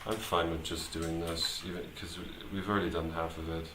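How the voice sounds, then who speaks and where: A man thinking aloud as he live-streams his programming work, talking casually and haltingly, muttering to himself as he goes. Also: deep voice, level tone. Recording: roomy echo, clear background noise, typing.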